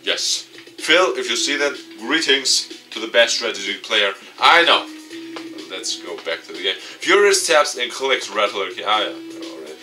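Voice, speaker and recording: A man reading a visual novel aloud and commenting off the cuff, dramatic with excitable asides. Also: medium pitch, moderate pace. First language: not English. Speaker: let's play YouTuber. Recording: distant mic, music behind. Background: game music.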